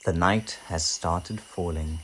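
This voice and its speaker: A man reading a children's picture book aloud in an animated, theatrical way, drawing out key words. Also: sits low, takes it slowly, a little hiss or hum.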